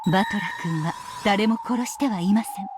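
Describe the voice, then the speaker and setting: A woman's voice: medium pitch, racing, noisy background, natural voice. An actress playing a composed, courteous, knowing witch in a visual novel, with polite and formal delivery. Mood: firm, composed. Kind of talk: Japanese visual novel voice acting.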